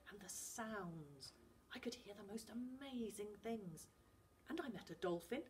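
A woman reading a story aloud, voicing a young boy who pleads in an excited rush. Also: sits high, measured pace, slight background noise, voice pushed up.

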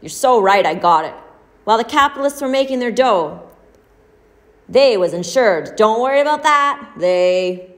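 A woman's voice: fairly high pitch, expressive, moderate pace, close to an everyday voice. A woman voicing a bitter, whining blind male peddler who tells his story in a pleading, dramatic way. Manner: pleading, self-pitying, rising to shouts.

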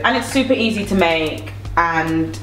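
A young woman chats playfully in a home kitchen, talkative and teasing. Her voice sits a little low and reaches the mic from a few steps away. The room around her rings with echo. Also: expressive, a little slow, far from the mic. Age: young adult. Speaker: singer hosting a cooking video. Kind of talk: casual chat while cooking.

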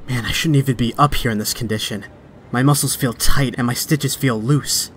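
An actor playing a young, wisecracking but stressed superhero in a comic dub, with a sardonic, conversational delivery. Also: male voice, medium pitch, steady tone, normal speed, slight background noise, lightly acted. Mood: anxious brooding. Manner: sardonic, conversational inner monologue. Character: young male superhero, wisecracking yet stressed and self-doubting. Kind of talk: dramatic comic dub acting.

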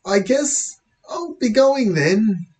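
A man's voice: high voice, animated, normal speed, voice pushed up, rough recording. A man voicing a nervous, evasive boy in a story, hesitant and stammering. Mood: flustered.

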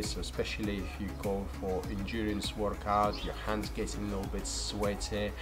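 Man giving practical home-gym tips. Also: lowish voice. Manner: plain, practical recommendations with non-native grammar. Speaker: fitness vlogger. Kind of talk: casual instructional talk to camera. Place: home room and garden.

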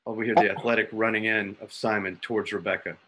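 A man's voice: fairly low pitch, little pitch movement, fast, sharp tone. A man giving scripted dramatic narration for a radio play, reading out sound cues as the narrator. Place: home room on a video call.

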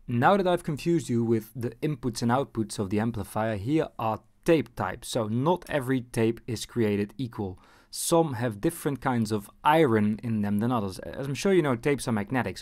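A man's voice: medium pitch, darkish tone. A man narrating a casual how-to lesson as presenter, explaining things in an informal, wry way.

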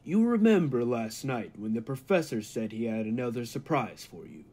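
An actor playing a cold, megalomaniac humanlike robot in an old-time radio drama, speaking deliberately and with grandiose menace. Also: male voice, medium pitch, very animated, a little mellow, husky. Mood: menacing. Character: cold, megalomaniac humanlike male robot bent on world mastery.

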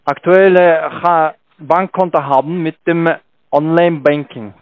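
A non-native man with a foreign accent and broken grammar, giving halting instructions as a call centre agent. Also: a little high, fairly even intonation, no room sound. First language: not German. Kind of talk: sales phone call. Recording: dull lo-fi phone call, dry.

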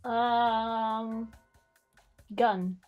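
A woman's voice: a little high, fairly even intonation, drawn-out words, dull audio, soft background music. A woman chatting casually as a player in a group word game. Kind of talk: casual chat.

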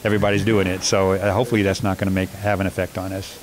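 Coach guy talking after a game, low monotone voice, lots of uhs and restarts, noisy spot behind him. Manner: measured, hesitant with many restarts and fillers. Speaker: basketball coach. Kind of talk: postgame interview. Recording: noisy background.

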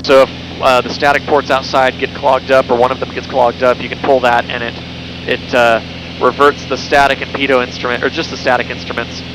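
A man's voice: medium pitch, a little fast. A young man giving a casual, conversational cockpit tour, speaking through a headset mic in a small airplane in flight. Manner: relaxed, conversational, with fillers and self-corrections. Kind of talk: casual explanatory walkthrough. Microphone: headset boom mic. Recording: engine noise through intercom. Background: steady engine drone.

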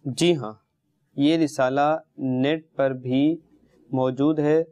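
A man's voice: medium pitch, fairly even intonation, warm tone, no echo. A man telling religious stories and moral lessons to children in an instructive way, often addressing his listeners directly. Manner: didactic, addresses listeners with questions and pet phrases. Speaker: religious storyteller and host of a children's programme. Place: indoor studio set, seated on carpet among children.

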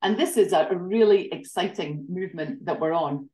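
A woman's voice: lowish voice, normal speed, dark tone, roomy sound. A Scottish woman in her forties, a tourism association director, talking articulately as an interview guest over a video call from her home. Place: home living room. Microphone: laptop webcam video call.

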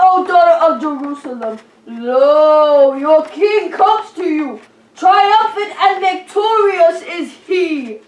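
A woman voicing an earnest, solemn puppet messenger in a children's Bible skit, declaiming deliberately. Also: extremely high pitch, far from the mic, full character voice. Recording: echoey room, off microphone.

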